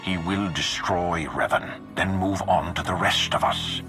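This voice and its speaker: An actor playing a stern, menacing Sith lord in a sci-fi game, speaking formally and ominously in measured phrases. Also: male voice. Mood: grave, ominous. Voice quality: very deep, gravelly. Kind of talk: dramatic sci-fi game dialogue. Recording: music behind the voice.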